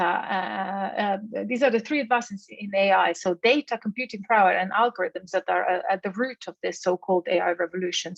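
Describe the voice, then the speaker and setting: A woman's voice: mid-range voice, gravelly, dry recording. Slovenian-accented woman lecturing, slightly dull audio. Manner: explanatory, with rhetorical questions. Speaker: keynote speaker, AI researcher. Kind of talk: academic keynote lecture.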